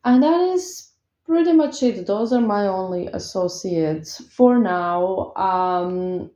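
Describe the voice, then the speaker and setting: A woman's voice: mid-range voice, animated, slow, warm tone. A woman from Croatia with a Croatian accent, a tarot reader chatting casually to her viewers, hesitant with many fillers. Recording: echoey room, dull lo-fi sound.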